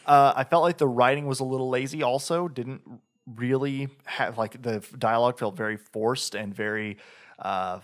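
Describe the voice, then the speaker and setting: A man's voice: medium pitch, normal speed. A man co-hosting a podcast, reviewing a game in casual chat, talking in a rambling way with lots of fillers and restarts. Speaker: podcast co-host.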